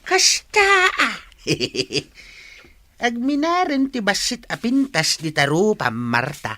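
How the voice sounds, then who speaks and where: A man's voice: sits high, huge pitch swings, slow, pushed and bright, heavily acted. An actor with an Ilocano accent playing a playful, flirtatious village man in a radio comedy drama. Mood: teasing.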